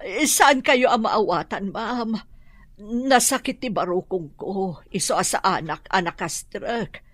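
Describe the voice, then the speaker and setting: A woman's voice: medium pitch, lively intonation, a little slow, fairly bright tone, no room sound, studio quality. A woman playing an ailing, weary working mother in a radio drama, speaking plaintively with an Ilocano Filipino accent. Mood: hurt, protesting. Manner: plaintive, pained.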